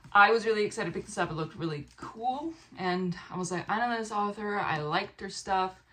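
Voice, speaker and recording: A young American woman chatting casually to camera as a book vlogger, in an expressive, rambling way, often interrupting herself. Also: mid-range voice, brisk. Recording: clear background room noise.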